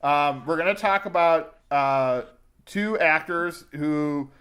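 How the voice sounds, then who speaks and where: Male podcast co-host, fairly high voice, casual and opinionated reviewer. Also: takes it slowly, a bit bright. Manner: conversational, informal, opinionated. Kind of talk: casual film review chat.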